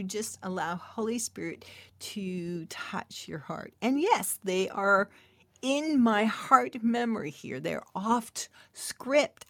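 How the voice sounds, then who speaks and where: A woman shares a spiritual testimony in a soft, intimate storytelling manner. She speaks slowly at a middle pitch, and her voice sounds dry and close, with no room echo. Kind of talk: testimony sermon.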